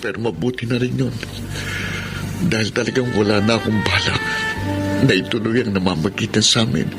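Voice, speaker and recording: A man's voice: on the low side, fairly even intonation, a little fast, vocal fry, over loud music. A man playing a blinded, desperate, remorseful husband in an emotional, melodramatic Filipino radio drama. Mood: gloomy remorse.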